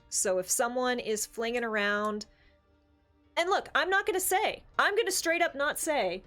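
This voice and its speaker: A woman's voice: on the high side, a bit bright. A woman in a casual, opinionated discussion as a co-host, speaking emphatically and repeating phrases to drive points home. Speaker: podcast co-host.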